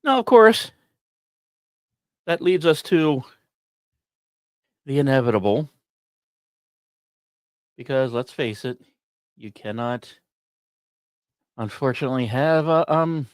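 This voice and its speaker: A male podcast host delivers scripted narration in a medium-pitched voice. He leans into dramatic pauses and slips in wry, informal asides. The sound is dry, with no room on it. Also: expressive, no echo.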